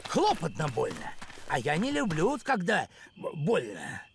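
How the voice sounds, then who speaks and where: Creaky, high-pitched fussy postman. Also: unhurried, slight background noise, heavily acted. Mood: nervous excuses. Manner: excitable, officious, swooping. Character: fussy, cowardly village postman, grown man. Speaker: voice actor. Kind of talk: animated cartoon acting.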